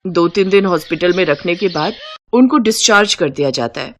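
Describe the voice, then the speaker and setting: A woman's voice: on the low side, animated, fairly quick. Woman narrating a cartoon family story.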